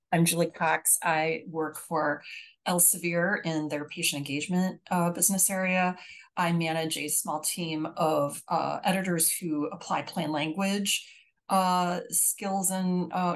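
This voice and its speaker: A woman who manages a team of editors, introducing herself haltingly with many uhs on a video call. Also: lowish voice, fairly even intonation.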